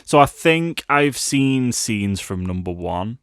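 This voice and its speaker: A man's voice: medium pitch, animated, takes it slowly, fairly bright tone, smooth, dry recording, studio quality. A man co-hosting a podcast, talking about films in an informal, conversational way. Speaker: podcast co-host. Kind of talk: casual film discussion.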